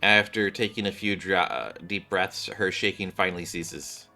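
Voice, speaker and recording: Bright-voiced man reading game script aloud. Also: low voice, steady tone, moderate pace. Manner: stumbles and corrects himself while reading. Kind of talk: live read-along of game script. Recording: background music behind the voice.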